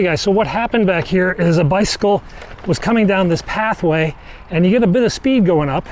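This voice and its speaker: Higher-pitched man vlogging over street noise. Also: a little mellow. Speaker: vlogger filming street incidents. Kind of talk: casual on-the-go vlog narration. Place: outdoors on city streets and a bike path. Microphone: handheld pocket camera. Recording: noisy outdoor city street with traffic. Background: traffic and city noise.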